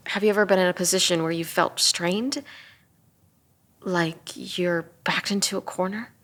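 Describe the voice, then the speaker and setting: A woman playing an earnest, empathetic police detective at a drama table read, measured and sometimes hesitant. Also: on the low side, fairly even intonation, fairly bright tone. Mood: calm, probing.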